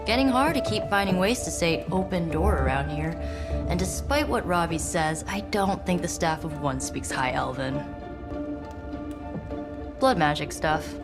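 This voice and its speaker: A wry young American sorceress. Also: female voice, lowish voice, lively intonation, a little slow, creaky, over loud music. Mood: wry teasing. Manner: wry, casual, teasing. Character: wry, friendly young sorceress superhero woman. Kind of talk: video game cutscene acting.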